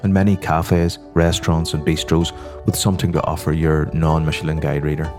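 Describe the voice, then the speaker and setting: Irish male host, very deep voice, calm scripted narration, guitar playing underneath. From Ireland. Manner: measured, informative delivery. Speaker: podcast host. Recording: music playing behind the voice. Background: guitar music.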